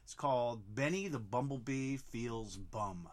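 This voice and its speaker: A man with a loud, resonant voice, chatting casually on air as a radio DJ and introducing old records with easygoing enthusiasm. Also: mid-range voice, a little slow.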